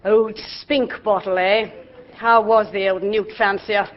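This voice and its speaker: An actress playing a brisk, bossy middle-aged aunt in a radio drama. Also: female voice, medium pitch, fairly even intonation, close to an everyday voice. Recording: dull lo-fi audio.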